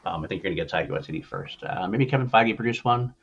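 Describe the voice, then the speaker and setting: A man with a lowish voice talks at a rapid clip as a podcast host. His delivery is informal and opinionated, chatting casually about pop culture. The audio sounds slightly dull and muffled. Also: lively intonation, breathy edge.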